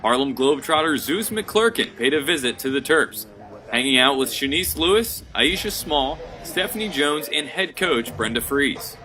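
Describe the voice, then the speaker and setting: A young man working as a student sports reporter, narrating scripted highlights and field reports. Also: lively intonation, moderate pace, sharp tone, faint music behind. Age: young adult.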